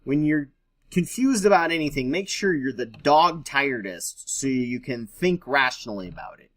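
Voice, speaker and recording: A man hosts an informal read-along, switching between reading text aloud and commenting on it. His voice sits at an average male pitch. He comes across as skeptical, with a dry streak of sarcasm. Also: lively intonation, normal speed, a bit bright. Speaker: host.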